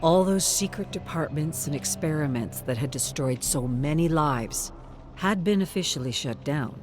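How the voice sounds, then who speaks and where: Narrator for dark suspense fiction: medium pitch, measured dramatic read, light music bed. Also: lively intonation. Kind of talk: dramatic scripted audiobook-style narration.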